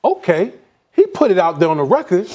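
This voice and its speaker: A man who is a former player and now an analyst, talking in an excitable, emphatic way in a sports debate. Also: high-pitched, a little fast.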